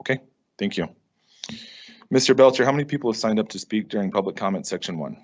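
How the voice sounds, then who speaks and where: A man chairing a school board meeting, briskly reading out formal rules for public comment into a meeting microphone. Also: on the low side, animated. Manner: brisk, procedural, measured reading. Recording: dull lo-fi meeting audio.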